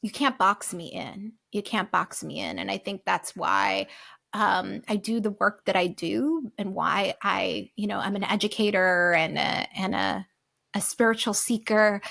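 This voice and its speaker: A woman's voice: mid-range voice, a little slow, creaky, a bit bright. A woman in her forties from the United States, a guest on an interview podcast, talking reflectively and animatedly with many fillers and restarts.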